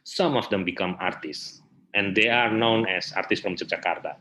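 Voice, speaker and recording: Male interview guest, Indonesian accent, very low but bright voice, feeling his way through halting sentences. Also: slight background noise. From Indonesia, north coast of Java. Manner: hesitant, halting phrasing with repeated words. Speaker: interview guest, theatre artist and festival director. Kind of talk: informal interview answers.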